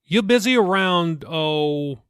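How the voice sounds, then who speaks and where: A man voicing a warm, teasing middle-aged pastor and father in an audiobook, upbeat and coaxing. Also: fairly high pitch, expressive, slow pace, clear, resonant tone, dry recording, studio quality, pitched above the performer's own voice. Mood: friendly. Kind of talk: audiobook character dialogue.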